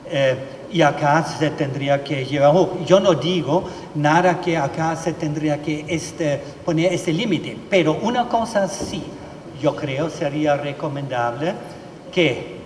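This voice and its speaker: A man gives a formal talk on law in a large hall. He speaks slowly in a fairly high voice, pausing often on fillers and restarting phrases. The sound is roomy, with a long echo and faint room noise behind it. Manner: halting, many fillers and restarts. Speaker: legal expert speaker.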